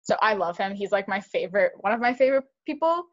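A young woman co-hosting a podcast, talking fast and animated in casual chat, with lots of "like". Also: mid-range voice, dull audio. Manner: fast, animated, heavy use of "like". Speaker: podcast co-host.

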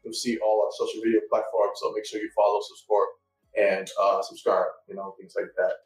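A man's voice: lowish voice, a little fast, soft background music. Man, podcast host, easygoing chat, roomy echo, distant mic. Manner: relaxed, lots of fillers. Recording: echoey room, mic at a distance.

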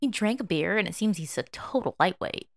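A woman's voice: medium pitch, expressive, brisk, creaky, dry recording. A woman voicing a flustered young man who puts on a jokey, carefree casualness. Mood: breezy, covering nerves. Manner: breezy, jokey, forced casualness.

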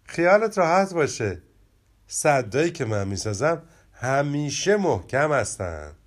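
Cocky young rabbit, boastful, offhand, animated, voiced by a man. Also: mid-range voice, pitched above the performer's own voice.

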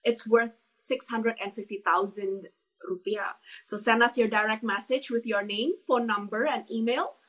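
A woman hosting a casual live chat, gushing and filling pauses with lots of uh. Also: a little high, a bit bright, rough recording. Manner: gushing, many uh fillers. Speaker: host.

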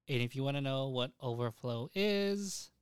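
A young man co-hosting a podcast, chatting casually and crudely about anime and games in an excitable, giggly, sweary way. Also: mid-range voice, clean tone, no room sound, clean studio sound. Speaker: podcast co-host.

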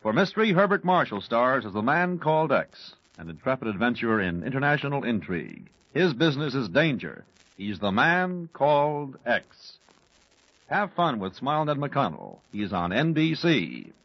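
Animated American vintage radio promo man. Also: medium pitch, normal speed, no echo. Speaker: network radio announcer. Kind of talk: 1940s radio promo announcing, scripted. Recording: muffled vintage 1940s radio broadcast.

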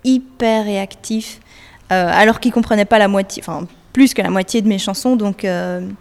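A woman's voice: mid-range voice, normal speed, creaky. Young woman singer answering, echoey room. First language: French. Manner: hesitant, many fillers and restarts. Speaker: singer-songwriter guest. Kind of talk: interview answers.

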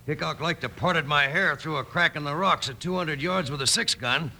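Sharp, excitable outlaw henchman. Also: fairly high pitch, normal speed, gravelly, exaggerated voice. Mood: agitated. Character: agitated outlaw lookout henchman, folksy and sassy. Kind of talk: old-time radio western acting. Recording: vintage radio recording, faint hiss.

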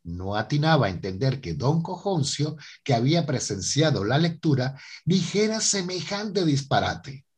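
A man's voice: fairly high pitch, very animated, moderate pace, hardly any pauses, darkish tone, slightly rough. A man giving scripted narration for a Spanish-language radio soap.

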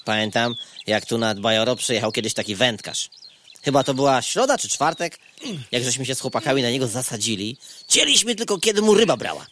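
A man's voice: medium pitch, very animated, a little fast, pushed and bright, slight background noise. A man playing a grumpy, sarcastic, defeatist male mosquito in a comic audio drama, whining in crude everyday speech. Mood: resigned, nostalgic. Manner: whiny, sarcastic, crude colloquial griping.

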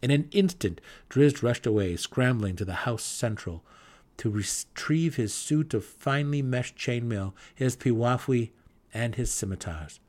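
A man's voice: medium pitch, animated, a little slow, darkish tone. A man reading a scripted fantasy story as a dramatic audiobook narrator.

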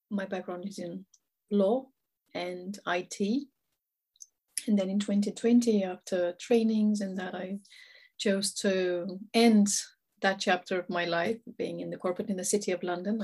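A woman's voice: medium pitch, darkish tone. A woman from Romania, a coach telling her story in a podcast interview, earnest and repeating words as she searches for them. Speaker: guest, coach.

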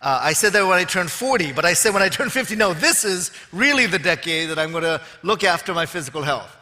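A man's voice: high-pitched, lively intonation, fast, sharp tone. A man in his fifties preaching to a large audience, joking dryly at his own expense before turning to teaching. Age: 50s. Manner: wry, joking asides to the audience. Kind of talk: sermon with self-deprecating humor. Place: large auditorium with long reverb. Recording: long hall reverb on stage.

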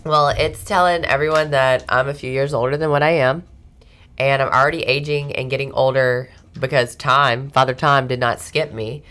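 A woman hosting a radio talk show from the studio. Her voice sits very low, and she talks bluntly, teases freely and laughs a lot. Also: steady tone, normal speed, fairly bright tone. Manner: blunt, laughs often, teasing. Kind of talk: casual radio banter.

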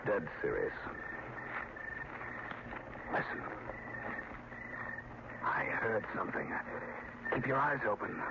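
Grim small-town sheriff, noisy old radio. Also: male voice, fairly low pitch, very animated, slow, creaky, pauses often, muffled recording, exaggerated voice. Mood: tense, watchful. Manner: folksy, plainspoken. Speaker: actor in a radio drama. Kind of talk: old-time radio drama acting.

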